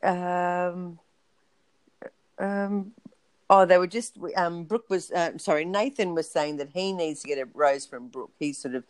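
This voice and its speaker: A woman's voice: lowish voice, steady tone, measured pace, no room sound. A woman co-hosting a podcast, recapping in a casual, rambling way with lots of ums and asides. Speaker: podcast co-host.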